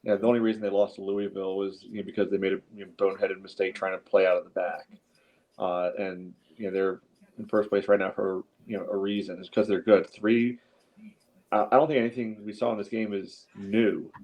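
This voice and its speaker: Low-voiced man arguing bluntly. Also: flat delivery, fairly quick, lo-fi sound. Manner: blunt, enumerates points, frequent "you know". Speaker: soccer podcast panelist. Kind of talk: casual post-game sports discussion.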